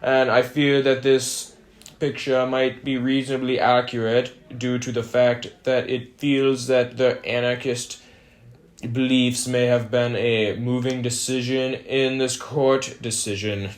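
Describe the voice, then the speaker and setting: A young man co-hosting an amateur mock 1920s radio broadcast, reading scripted news in a measured, stiff, formal way. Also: mid-range voice, level tone.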